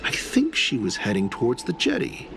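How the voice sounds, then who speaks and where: An actor playing a falsely courteous, menacing cult agent in a video game, theatrical and measured. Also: male voice, mid-range voice, huge pitch swings, gravelly, a bit bright, slight background noise, full character voice. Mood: falsely polite. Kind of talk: English game voice acting. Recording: game music behind.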